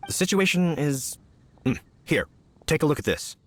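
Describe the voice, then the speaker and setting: Lively, earnest, courteous young knight. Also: male voice, on the high side, moderate pace. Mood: apologetic, eager. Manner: formal, polite, eager, emphatic. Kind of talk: video game voice acting.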